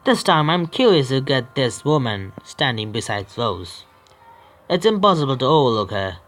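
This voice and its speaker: A man with an Indian English accent, a gaming streamer reading a horror visual novel aloud, with halting restarts. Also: mid-range voice, a bit bright, slight background noise. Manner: halting, stumbling read with restarts.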